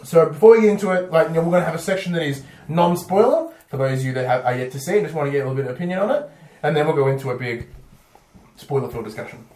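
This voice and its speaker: Echoey, higher-voiced Australian man. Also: lively intonation, rapid-fire, a little mellow. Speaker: podcast host. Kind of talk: casual film review discussion. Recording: echoey room, far from mic.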